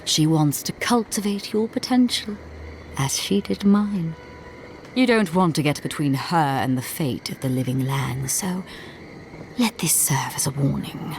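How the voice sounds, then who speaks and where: A woman playing a smug, zealous inquisitor's agent in a fantasy game, speaking in a measured, formal and condescending way with courteous menace. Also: low voice, darkish tone, a little hiss or hum. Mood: warning. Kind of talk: scripted fantasy game dialogue.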